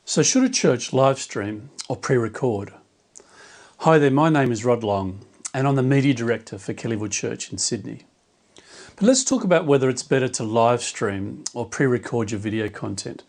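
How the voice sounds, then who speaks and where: A man who runs a church media team, delivering a scripted explainer video in a clear, measured way. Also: mid-range voice, animated, a little mellow.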